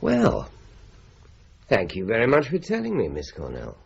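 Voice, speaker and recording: An actor playing a shrewd, courteous police inspector who talks in a dry, measured way, in a radio drama on a slightly dull recording. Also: male voice, fairly low pitch, wildly swooping pitch, gravelly, darkish tone, a little hiss or hum, exaggerated voice. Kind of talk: 1970s radio drama acting.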